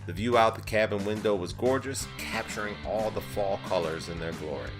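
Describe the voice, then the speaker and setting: A man's voice: fairly low pitch, fairly quick. A man reading scripted travel vlog narration as a voice-over. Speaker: vlogger narrator. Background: plucked guitar music.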